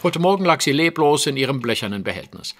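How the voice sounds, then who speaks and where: An actor playing a witty, self-assured detective in an audio drama, speaking precisely and with dry irony. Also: male voice, a little high, lively intonation, fast talker, a bit bright. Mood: analytical. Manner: precise, dryly ironic. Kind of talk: German audio drama acting.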